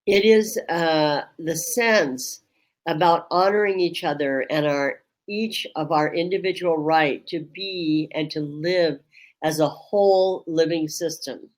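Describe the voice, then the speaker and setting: Woman, low voice, carrying, very slow, measured, frequent pauses, webinar host. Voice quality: strong voice that carries across a room. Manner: slow, measured, pausing often with ums. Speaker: Reiki teacher hosting a webinar. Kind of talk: reflective webinar talk. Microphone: webinar microphone.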